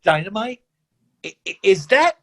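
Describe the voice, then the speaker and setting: An earnest, idealistic father in midlife played by a male actor in a staged reading. His voice sits fairly high and swings widely in pitch. He is halting and rambling as he tries to persuade. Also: sing-song, pushed and bright, heavily acted. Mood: stunned, wistful. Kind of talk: staged readers theatre reading.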